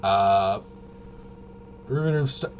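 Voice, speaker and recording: A man with a lowish voice talks his way casually through a game walkthrough. He rambles and mutters to himself as he thinks, dropping in playful interjections. There is clear background noise on the recording. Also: expressive, takes it slowly, lo-fi sound. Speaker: host of a tabletop RPG channel.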